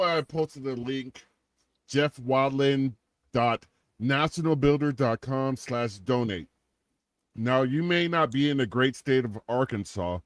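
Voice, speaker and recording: A man's voice: medium pitch, no echo. Joking middle-aged man, dry sound. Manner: joking, rambling, full of restarts. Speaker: podcast co-host. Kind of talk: casual podcast chat with sponsor-style plugs.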